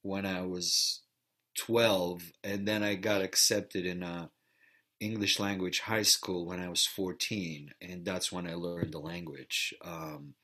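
Man, middle-aged, low pitch, husky, reflective interview talk, repeats words. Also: fairly even intonation, slow, pushed and bright. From Bulgaria. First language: Bulgarian. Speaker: actor and theater professor. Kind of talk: interview conversation.

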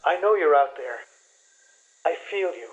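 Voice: mid-range voice, lively intonation, measured pace, lo-fi sound, natural voice. An actor playing an anguished, pleading caller reaching out to someone lost, in a radio play. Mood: desperate, searching. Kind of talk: radio drama acting.

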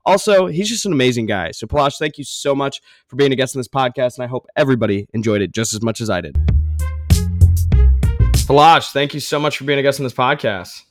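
A man's voice: medium pitch, animated, brisk, no room sound, soft background music. A man hosting a podcast interview, enthusiastic and effusive, often repeating and restarting phrases as he talks. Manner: enthusiastic, effusive, repeats words while rephrasing. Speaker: podcast host.

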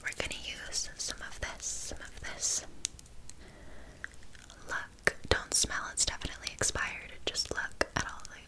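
A woman whispering an ASMR roleplay as a flippant, bumbling witch, with a breathy voice and casual, halting asides. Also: poor audio. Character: flippant, bumbling female witch brewing love potions.

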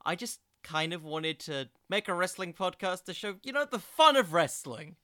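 Bright-voiced young man hosting a podcast. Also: high-pitched, expressive, moderate pace, dry recording, clean studio sound. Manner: self-deprecating, rambling, jokey. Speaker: podcast host. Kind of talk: informal solo podcast commentary on wrestling.

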